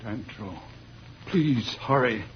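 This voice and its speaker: A man's voice: medium pitch, slow pace, noisy background, lightly acted. A man acting a solemn, imploring space council member in formal old-time radio style. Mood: pleading. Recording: muffled, echoey old radio recording.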